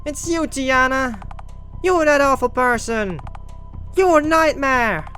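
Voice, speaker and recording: A man's voice: extremely high pitch, slow pace, pushed and bright, faint music behind, pitched above the performer's own voice, full character voice. A man voicing a determined young accuser in a visual novel, speaking with indignant, dramatic conviction. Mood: outraged accusation.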